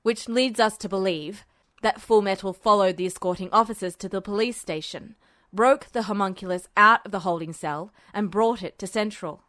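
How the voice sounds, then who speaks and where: A woman voicing a gruff, gravelly male major general in a podfic reading, curt and commanding. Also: medium pitch, moderate pace, fairly bright tone, dry recording, studio quality, voice pushed down, lightly acted. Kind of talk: podfic reading of fan fiction.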